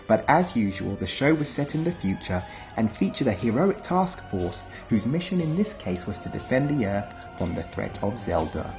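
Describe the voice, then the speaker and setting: A man's voice: lowish voice, big pitch swings, normal speed, creaky. A man reading scripted documentary narration for a countdown video. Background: music.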